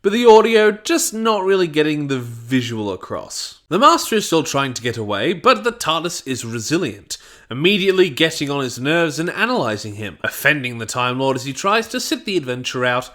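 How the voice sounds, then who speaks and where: A man's voice: a little high, very animated, moderate pace, fairly bright tone. A man narrating a scripted review, stressing his phrases emphatically.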